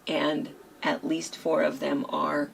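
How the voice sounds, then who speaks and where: A hoarse woman co-hosting a quilt shop chat, rambling casually with lots of fillers. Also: sits low, completely flat delivery, slow pace, slight background noise. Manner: chatty, rambling with many fillers.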